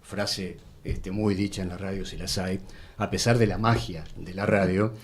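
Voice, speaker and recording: A man with an Argentine accent chatting casually as a guest, telling anecdotes in a halting, digressive way. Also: deep voice, fairly even intonation, slight background noise. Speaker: interview guest.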